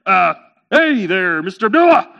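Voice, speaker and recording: A man's voice: high-pitched, very animated, moderate pace, creaky, bright, forceful tone, exaggerated voice. A man voicing a laid-back, cheeky talking camel in a comic story, wheedling in a breezy, folksy way. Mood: pleading.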